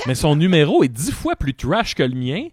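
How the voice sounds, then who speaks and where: A man's voice: on the high side, huge pitch swings, normal speed, dry recording, studio quality. A man with a Québécois accent, a comedian co-hosting a podcast, telling stories with crude jokes. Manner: joking, storytelling, crude humor. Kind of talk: casual podcast chat.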